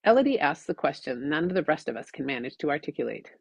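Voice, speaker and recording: A woman reading her own audiobook story in an expressive, scripted narrating style. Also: sits low, fast talker, gravelly, husky, no room sound.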